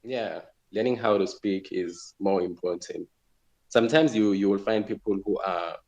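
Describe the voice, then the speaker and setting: A man's voice: deep voice, vocal fry, darkish tone, low-quality recording. A man from South Africa, a guest in an interview, answering thoughtfully and repeating phrases as he thinks. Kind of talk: relaxed conversational interview answers.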